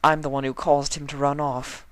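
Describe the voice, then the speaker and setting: Man voicing a guilt-ridden, high-voiced unicorn lady. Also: flat delivery, moderate pace, clear, resonant tone, dry recording, natural voice. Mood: remorseful. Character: refined young female unicorn pony, guilty and heartbroken.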